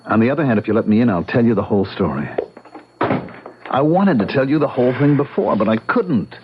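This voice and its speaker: An actor playing an earnest, stubborn young American bachelor in an old-time radio drama, explaining himself emphatically. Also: male voice, mid-range voice, lively intonation, brisk, background noise, close to an everyday voice. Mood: confessing, pleading. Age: young adult. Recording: muffled radio drama recording.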